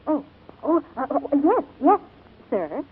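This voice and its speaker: An actress playing an eager, plucky young American sister, earnest and polite but stubborn, in a 1940s radio drama. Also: female voice, high voice, sing-song, takes it slowly, heavily acted. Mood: flustered, eager. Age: young adult. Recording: tinny, noisy 1940s radio recording.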